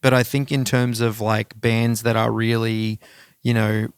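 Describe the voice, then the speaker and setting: A man's voice: fairly low pitch, level tone, measured pace, clean tone, no echo, clean studio sound. A man co-hosting a music podcast, chatting casually with informal, sweary enthusiasm.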